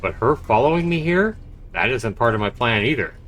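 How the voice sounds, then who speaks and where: A man's voice: mid-range voice, animated, fairly quick, fairly bright tone. A man livestreaming a visual novel, reading the text aloud dramatically and reacting expressively as he comments. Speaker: game streamer. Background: game music.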